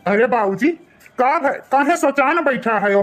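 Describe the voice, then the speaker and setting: A man plays a dutiful young villager in a cartoon, eager to help and respectful to his elders. His voice is bright and artificially raised, with an Awadhi accent. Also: very high-pitched, lively intonation, unhurried, soft background music, heavily acted. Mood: concerned.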